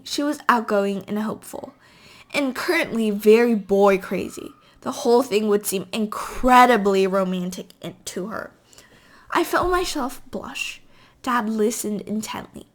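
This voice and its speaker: A woman reading a novel aloud as an amateur, halting at times as she stumbles over words and corrects herself. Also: fairly high pitch, a bit bright. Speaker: amateur reader.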